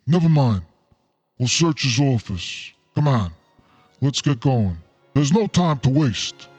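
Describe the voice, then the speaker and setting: A man's voice: medium pitch, animated, slow pace, a little mellow, breathy edge, soft background music. A man acting a suave, scheming noir crime boss. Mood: commanding. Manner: genteel, formal, faintly menacing. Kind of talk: noir radio-play acting.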